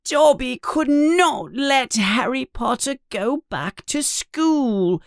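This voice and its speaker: A woman voicing a small, timid, tearful male house elf who talks in a squeaky, pleading, servile way. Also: lively intonation, slow pace, gravelly, no room sound, studio quality, heavily acted.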